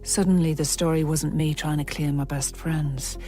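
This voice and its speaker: A woman's voice: sits low, fairly even intonation, normal speed, dark tone, faint music behind, lightly acted. An actress playing a troubled mother who recounts an epidemic in a sober, reflective radio drama style. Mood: grim, reflective. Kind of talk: radio drama narration and dialogue.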